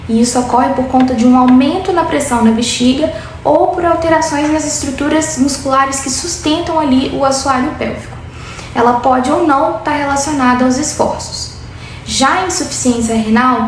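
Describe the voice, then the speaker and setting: A woman in her twenties with a Brazilian Portuguese accent, a doctor calmly explaining medical topics in an interview. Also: high voice. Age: 20s. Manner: steady, clinical, explanatory. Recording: very echoey room with faint noise.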